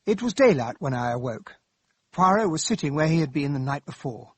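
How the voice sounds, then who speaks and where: An actor playing a loyal, earnest English gentleman sidekick who also narrates a radio drama in a polite, plain-spoken way. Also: fairly high pitch, sing-song, fairly quick, husky, no room sound. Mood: hushed narration.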